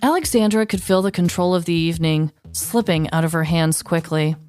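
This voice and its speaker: A woman's voice: on the low side, fairly quick, darkish tone, soft background music. A woman podcast host narrating a scripted comedic story.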